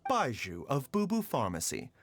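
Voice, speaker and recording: An actor voicing a gentle, suave and composed pharmacist in a video game, speaking politely and formally. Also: mid-range voice, huge pitch swings, moderate pace, soft background music. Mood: courteous.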